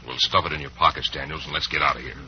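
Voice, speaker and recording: An actor playing a nervous, grumbling burglar, edgy and impatient, in an old-time western radio drama. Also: male voice, very deep voice, fairly even intonation, racing. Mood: jittery, irritated. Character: nervous, grumbling male burglar, the junior partner. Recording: noisy, muffled old radio recording.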